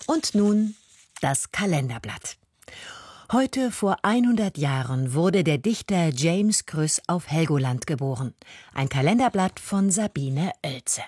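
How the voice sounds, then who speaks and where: A woman radio presenter announcing the next segment calmly. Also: deep voice, husky, no echo, studio quality. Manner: slow, calm announcing.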